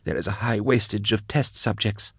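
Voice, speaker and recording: A man voicing a tormented, devout woman captive in an audiobook, her words strained, halting and unwilling as she is forced to confess. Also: fairly even intonation, breathy edge, no echo, studio quality. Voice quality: strained, high-pitched. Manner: tight, unwilling, halting, whining. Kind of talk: dramatised audiobook dialogue.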